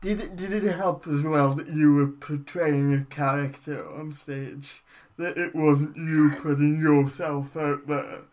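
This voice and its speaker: A man interviewing a guest, asking questions gently and encouragingly. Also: a little high, fairly even intonation, a little slow. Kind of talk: casual interview.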